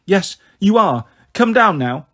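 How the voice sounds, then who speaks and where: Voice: mid-range voice, big pitch swings, slow, a bit bright, voice pushed up, exaggerated voice. A reader voicing an anxious, bossy young girl minding her siblings in a storybook, urgent and coaxing.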